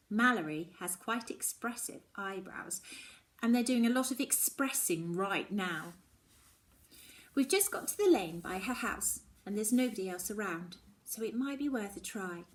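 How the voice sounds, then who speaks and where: A woman reading a children's novel aloud in the first person, with expressive, dramatic emphasis. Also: mid-range voice, normal speed. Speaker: storybook reader.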